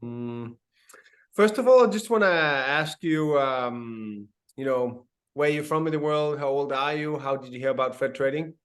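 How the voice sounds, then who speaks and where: A man's voice: mid-range voice, unhurried. A man interviewing a guest in a friendly, casual way, calling him "man".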